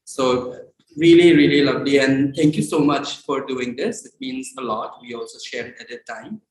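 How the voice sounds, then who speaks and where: A man's voice: mid-range voice, moderate pace. A man giving informal audience feedback, searching for words as he goes. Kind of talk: informal spoken tribute. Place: indoor event space, at a laptop. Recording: echoey room, clearly noisy with clicks.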